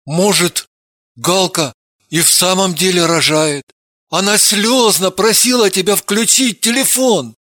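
A man playing an easygoing, teasing, sometimes puzzled man in an audiobook, with an excitable manner. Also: sits high, normal speed, no echo, studio quality. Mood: agitated. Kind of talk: audiobook character acting.

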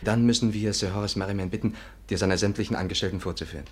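An actor playing a practical, alert detective companion, brisk and plain-spoken, in a 1950s German radio play. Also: male voice, fairly low pitch, fairly even intonation, slightly rough. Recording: noisy, crackly 1950s radio recording.

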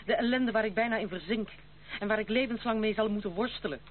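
A woman's voice: medium pitch, fast talker, lightly acted. An actress playing a proud, independent young noblewoman in a radio play, speaking formally, firmly and with dignity. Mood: resigned, grave. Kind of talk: old radio play acting. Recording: tinny, band-limited, hissy old radio recording.

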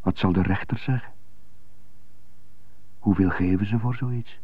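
Low, slow, anxious prisoner. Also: male voice, dry recording, highs cut off. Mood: anxious brooding. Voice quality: stutter. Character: anxious jailed jazz trumpeter who stutters, brooding inwardly. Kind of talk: radio drama acting.

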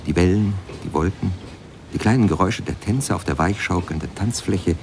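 An actor playing a reflective former steamboat pianist who tells his memories in measured, lyrical radio drama narration. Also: deep voice, noisy background. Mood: wistful storytelling. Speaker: narrator and protagonist of a radio play.

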